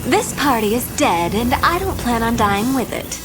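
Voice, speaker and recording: A woman's voice: on the high side, very animated, music in the background. An actress playing a seductive female crime boss in a noir audio drama, cool and teasing. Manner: cool, teasing, unhurried wit.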